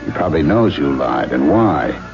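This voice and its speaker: An actor playing a protective, wary carnival owner, plainspoken and slangy, in a 1970s radio drama. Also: male voice, a bass voice, big pitch swings, normal speed, creaky, darkish tone, soft background music, heavily acted. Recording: dull 1970s radio recording.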